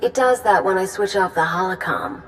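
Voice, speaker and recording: A woman's voice: mid-range voice, moderate pace, soft background music, natural voice. A woman playing a haughty, sardonic and headstrong Sith operative in a video game, scornful and sarcastic. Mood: cocky defiance. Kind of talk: dramatic video game voice acting.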